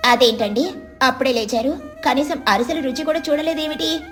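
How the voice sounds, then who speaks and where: Woman voicing a high-pitched, dramatic, greedy wife. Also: brisk, soft background music, natural voice. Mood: brash, carefree. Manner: exclamatory, complaining. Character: greedy, stubborn married woman, wailing and dramatic.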